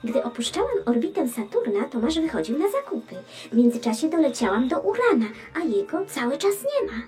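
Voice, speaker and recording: A woman's voice: high voice, sing-song, brisk, dark tone, faint music behind. An actress playing a chatty, curious female space probe with a wry, teasing manner in a children's educational audio drama. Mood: wry, exasperated. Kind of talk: children's educational radio play.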